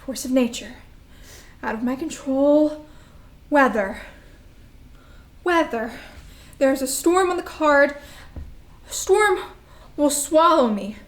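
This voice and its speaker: Actress as high-voiced, unstable, defiant younger sister. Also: female voice, expressive, takes it slowly, pauses often. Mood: fearful, fixated. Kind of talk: staged reading of a play.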